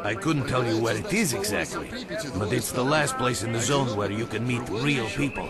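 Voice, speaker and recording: An actor playing a friendly, streetwise bartender in a video game, chatting in a chummy, slangy way. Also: male voice, mid-range voice, moderate pace, close to an everyday voice. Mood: chummy, confiding. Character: friendly streetwise male bartender at a swamp outpost. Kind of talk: video game character dialogue. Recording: loud music behind the voice. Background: loud music.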